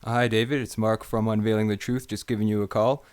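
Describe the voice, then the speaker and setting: Flat-voiced Canadian man hosting a show. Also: lowish voice, a little fast, background noise. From Northern Ontario, Canada. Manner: agreeable, filler-heavy, repeats "for sure". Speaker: host. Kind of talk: live talk show interview.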